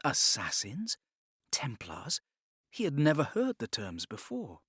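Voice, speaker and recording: A man reads dramatic audiobook narration at a medium pitch. His intonation is very varied, and the recording is close and dry. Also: huge pitch swings, takes it slowly, fairly bright tone, husky, no room sound.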